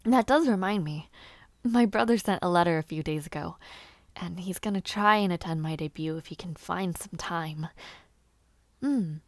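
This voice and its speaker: A woman playing a bashful, playful young noble lady who aspires to knighthood in an audio roleplay, with halting, giggly speech and formal wording. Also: fairly low pitch, no room sound, lightly acted. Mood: chatty, wry. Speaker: roleplay voice actor. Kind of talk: intimate scripted audio roleplay.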